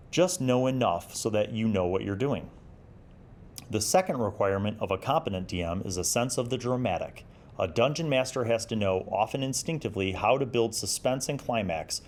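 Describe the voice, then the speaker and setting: A man's voice: mid-range voice, a little mellow. A man in his forties hosting a review show, speaking in a conversational, explanatory and encouraging way as he gives commentary and reads excerpts. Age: 40s. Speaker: host of a tabletop roleplaying review show. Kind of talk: commentary on magazine articles, reading excerpts and adding advice.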